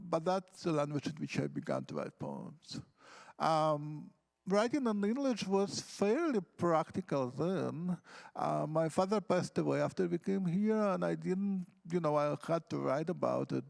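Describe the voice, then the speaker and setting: Gravelly man, fairly high voice. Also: a little mellow. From Ukraine. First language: Russian. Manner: earnest, explains with examples and questions to himself. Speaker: poet on a panel. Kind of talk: reflective talk on poetry craft. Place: conference hall stage.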